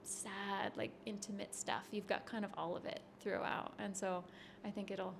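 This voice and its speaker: Relaxed woman in her thirties, faint hiss. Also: mid-range voice, moderate pace, gravelly. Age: 30s. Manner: relaxed, rambling, laughs easily. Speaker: touring bassist guest. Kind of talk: backstage interview chat. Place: backstage at a concert venue.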